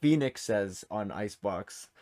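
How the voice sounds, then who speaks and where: A man's voice: lowish voice, lively intonation, measured pace, a little mellow. A man hosting a video game trivia quiz in casual chat, informally reading questions and answer options aloud. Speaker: quiz host.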